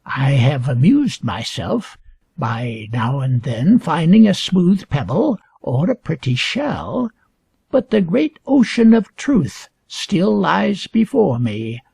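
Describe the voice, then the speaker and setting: A man reading a scripted educational story aloud for English learners with deliberate, emphatic phrasing. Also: on the high side, big pitch swings, creaky, mellow, slightly rough, dry recording. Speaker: reader.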